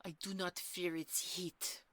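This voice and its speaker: A woman's voice: on the low side, flat delivery, slow, a bit bright, dry recording. A woman playing a proud, defiant queen of magical creatures, haughty and theatrical, in a fantasy audio roleplay. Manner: haughty, theatrical, swinging from cold to anguished.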